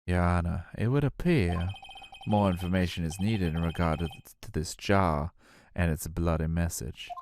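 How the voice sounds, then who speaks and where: Male defense attorney for a video game, deep register, measured and formal a man voicing him, faint background noise. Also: darkish tone. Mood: composed. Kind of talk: video game dialogue read aloud.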